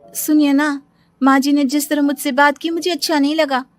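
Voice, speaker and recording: A woman's voice: high voice, level tone, soft background music, lightly acted. A woman playing a feisty young nomad bride in a cartoon story, sassy and defiant. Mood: hurt, puzzled. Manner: defiant, sassy, drawn-out taunts. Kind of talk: Hindi cartoon story acting.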